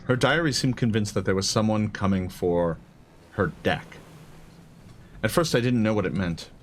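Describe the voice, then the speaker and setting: Stern female guardian of a dangerous relic man acting, low, formal, worried, tabletop roleplay. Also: slight background noise, lightly acted. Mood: grave, worried. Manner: formal, grave, measured wording. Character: stern, worried woman entrusted with a dangerous deck.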